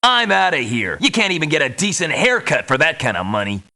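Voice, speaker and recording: An actor playing a surly, touchy mercenary in a video game, talking in a blunt, resentful manner. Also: male voice, fairly high pitch, very animated, a little fast, creaky, sharp tone, full character voice. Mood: grudging. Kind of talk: video game character voice lines.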